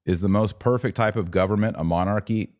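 A man's voice: on the low side, brisk, darkish tone. A man hosting a podcast, giving conversational religious and political commentary in an emphatic, opinionated way with short declarative statements.

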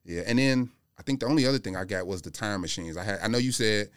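A middle-aged American man talks casually as a podcast guest. His voice is deep and smooth, and he rambles through slangy anecdotes. The recording is close and very dry. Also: fast talker, no echo. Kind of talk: casual chat about sneakers. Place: close-miked podcast studio.

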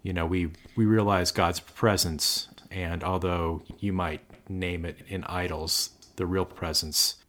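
A man with a very low voice talks through scripture in an easy podcast chat. He explains at length and tosses in dry jokes as he goes. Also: fairly even intonation, a little slow, hardly any pauses, fairly bright tone. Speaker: podcast co-host. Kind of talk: casual chat on faith and scripture.

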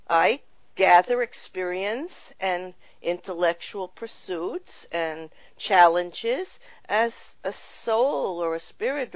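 A woman hosts a spiritual talk show, unhurried and earnest, dwelling on each phrase. Her voice sits at a middle pitch. The sound is dry and close, with no room around it. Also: dry recording. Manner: gentle, reflective, earnest. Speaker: show host.

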